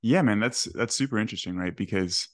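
A man's voice: lowish voice, fast, no room sound, studio quality. A man hosting a podcast in casual chat, upbeat and often tagging "right?" onto his points. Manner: upbeat, peppers talk with "right?". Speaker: podcast host.

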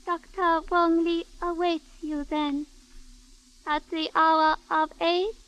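An actress playing a composed, formal Chinese servant woman in a 1950s radio drama, speaking in measured, ceremonious phrases. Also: very high voice, flat delivery, a bit bright, heavily acted. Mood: solemn, insistent. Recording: dull vintage radio recording.

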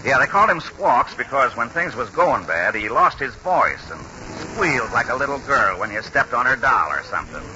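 A man playing a folksy American grandfather and former baseball trainer, animated and ungrammatical, in a 1940s radio drama. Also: medium pitch, moderate pace, natural voice. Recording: muffled, hissy 1940s radio recording.

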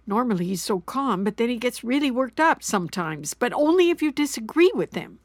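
A woman's voice: a little high, sing-song, fairly quick, no echo. A middle-aged woman playing an anxious, apologetic mother who rambles and frets in a naturalistic audio drama. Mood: apologetic, rambling. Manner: rambling, fretful, self-blaming.

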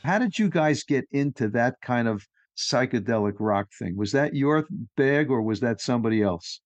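A man's voice: medium pitch, expressive, moderate pace, no echo, faint music behind. A man from New York hosting a podcast interview, chatty and opinionated, asking leading questions. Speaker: podcast host.

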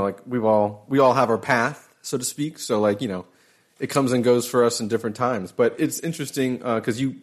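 A man hosts a casual interview in a fairly low voice. His questions wander, full of you know and like. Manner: rambling, chatty, heavy on fillers like you know and like. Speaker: podcast interviewer.

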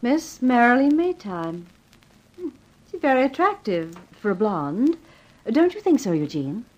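An actress playing a cool, brisk young company boss in a 1950s radio drama, formal and businesslike. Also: female voice, a little high, sing-song, darkish tone, muffled recording. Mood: measured interest. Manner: businesslike, commanding, crisply formal.

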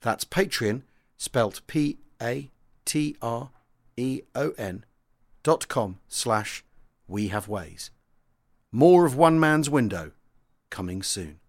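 A man's voice: medium pitch, expressive, takes it slowly, long pauses. A man with a British accent reading a first-person memoir aloud as a dramatic audiobook narrator.

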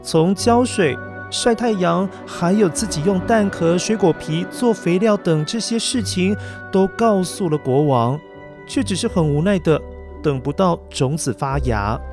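High-pitched male children's storyteller over music. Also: unhurried. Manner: addresses young listeners with coaxing questions. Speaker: children's story podcast host.

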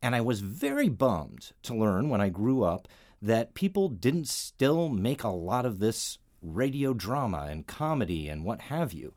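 Casting: American man, middle-aged, radio host, chatty and wry, animated pitch, very dry sound. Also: a little slow, no echo. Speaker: artistic director of an audio theater, host. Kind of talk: conversational radio introduction.

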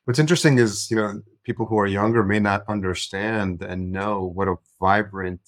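A man's voice: fairly low pitch, fairly even intonation, moderate pace, dry recording, studio quality. A man hosting a podcast, asking casual questions with many fillers. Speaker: podcast host. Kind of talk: interview questions.

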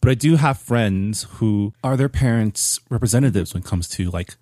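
Low-voiced man in casual film chat. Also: moderate pace, no room sound, clean studio sound. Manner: relaxed, hesitant with many ums. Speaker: podcast co-host.

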